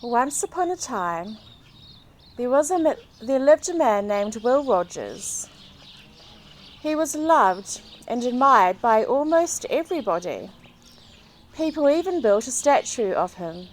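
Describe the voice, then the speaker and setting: A woman reads a children's storybook aloud in an expressive way to young listeners, recorded outdoors in a garden. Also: fairly high pitch, unhurried. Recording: outdoor recording with clear background noise, birdsong. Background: birdsong.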